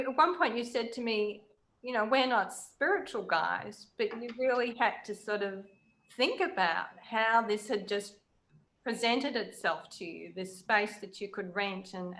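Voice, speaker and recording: A woman interviewing in a conversational way, reflective and pausing to choose her words. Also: medium pitch, fairly bright tone, low-quality recording. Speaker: interviewer, writer.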